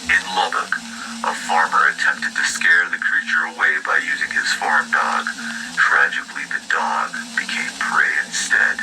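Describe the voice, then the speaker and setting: A man reading a scripted news bulletin in a grave, formal, deadpan manner. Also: very deep voice, moderate pace, loud music behind the voice. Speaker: news announcer in an analog horror video. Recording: noisy lo-fi broadcast-style audio. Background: loud noisy ambience.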